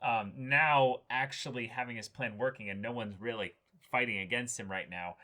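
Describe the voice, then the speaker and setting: Male co-host, bright and punchy voice, loose conversational delivery, irreverent joker. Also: mid-range voice, moderate pace. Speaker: co-host of a reaction show. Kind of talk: casual chat, anime discussion.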